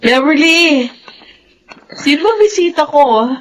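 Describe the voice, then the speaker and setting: A woman playing an emotive young elder sister and daughter in a Filipino radio drama, with drawn-out delivery and a Filipino accent. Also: high-pitched, expressive, a little hiss or hum, rough recording. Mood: warm, curious.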